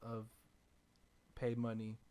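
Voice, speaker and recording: A man's voice: medium pitch, completely flat delivery, takes it slowly, warm tone. A man hosting a podcast in a casual, conversational style, chatting and reading sponsor spots. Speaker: podcast host. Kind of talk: casual chat and ad reads.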